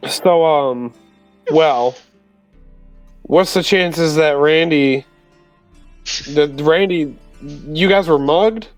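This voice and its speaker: A man's voice: fairly high pitch, extremely slow, pauses often. A man playing a tabletop roleplaying game, talking in a casual, joking roleplay style. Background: music.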